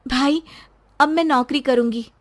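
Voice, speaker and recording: Woman acting earnest, high-voiced young wife. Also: unhurried. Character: earnest, determined young wife and working mother.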